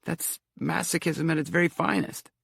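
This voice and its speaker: A man's voice: on the high side, fairly even intonation, moderate pace, creaky, a bit bright, breathy edge, no echo, clean studio sound, pitched above the performer's own voice, heavily acted. A man voicing a sardonic, wisecracking young male college student worried about money.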